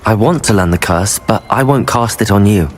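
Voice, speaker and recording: An actor playing a calm, steady young male wizard student in a video game, speaking. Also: fairly low pitch, moderate pace, close to an everyday voice. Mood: resolute. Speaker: game character.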